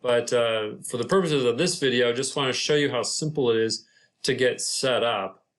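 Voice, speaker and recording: A man narrating an informal screen-share software tutorial in a halting, unscripted, explanatory way. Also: medium pitch. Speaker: property manager presenting a software tutorial.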